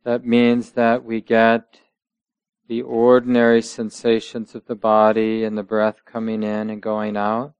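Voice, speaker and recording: A man leads a guided meditation at a very slow pace, in a smooth, clear voice that stays very even in pitch. He speaks gently, in short measured phrases separated by long pauses. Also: no echo. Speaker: meditation teacher.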